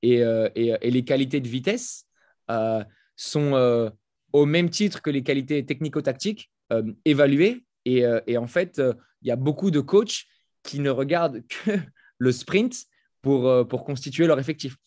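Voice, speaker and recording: A French man who just turned thirty, guest on a podcast, speaking in a halting way full of fillers and restarts. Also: mid-range voice, expressive, darkish tone, no room sound, studio quality. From France. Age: 30s. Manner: hesitant, many fillers and restarts. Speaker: guest, fitness coach. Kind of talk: casual interview talk. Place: home in Florida, over a call.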